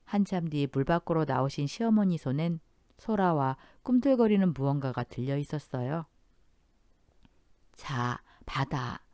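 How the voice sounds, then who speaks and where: A woman narrating a scripted first-person story in a gentle, polite storytelling manner. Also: low-pitched, expressive, normal speed, no room sound, clean studio sound.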